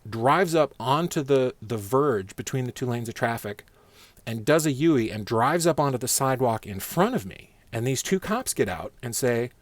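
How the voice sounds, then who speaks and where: A man's voice: medium pitch, animated, normal speed, no room sound. A man telling a personal story in a casual, slangy, conversational way, with some swearing and acted dialogue.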